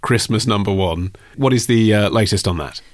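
A man's voice: on the low side, moderate pace. Dry, teasing British man hosting a podcast. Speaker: podcast host. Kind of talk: casual podcast banter.

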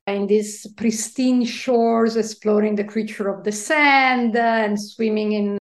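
A woman's voice: fairly high pitch, fairly even intonation. Italian-accented woman in a roomy space. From Italy. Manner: halting with many fillers, laughs softly. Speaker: guest, marine biologist. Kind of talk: interview conversation.

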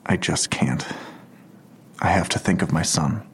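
An actor playing a weary, guilt-ridden middle-aged police detective in an audio drama, speaking in a halting, subdued, gravelly and husky voice. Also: male voice, low-pitched, a little hiss or hum. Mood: apologetic, guarded.

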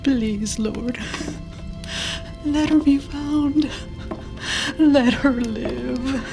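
An actress playing an anguished, devout and fearful mother in an audio drama, with a fervent, deliberate, grief-stricken delivery. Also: high voice, fairly even intonation, gravelly, exaggerated voice. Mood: grieving, hushed. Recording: loud music under the voice.

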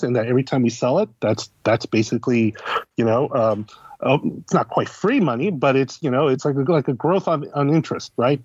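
A man's voice: mid-range voice, darkish tone, husky, dry recording. A man talking casually as an interview guest, conversational, often posing questions and answering them himself. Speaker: guest, critic and editor. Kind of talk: casual explanatory interview talk. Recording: slightly dull, lo-fi podcast audio.

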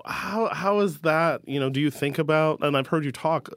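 A man's voice: on the high side, moderate pace, a bit bright, no echo, studio quality. A man hosting a conversation with a poet, asking engaged and curious questions. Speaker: host. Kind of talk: conversational interview.

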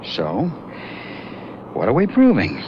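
A man's voice: lowish voice, wildly swooping pitch, slow pace, heavily acted. An actor playing a skeptical man in a radio drama who keeps making dry, teasing remarks and wanting a drink. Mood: wry. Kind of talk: 1970s radio drama acting. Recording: lo-fi old radio broadcast, faint hiss.

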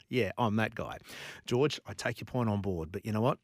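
A man's voice: on the low side, moderate pace, no echo, studio quality. A man from Brisbane with an Australian accent, hosting a talkback sports radio show and firing rhetorical questions at listeners.

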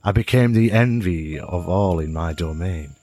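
A man voicing a proud, wise, tiny winged insect who instructs in a grand, slow storybook manner. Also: deep voice, dark tone. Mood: proud, lofty.

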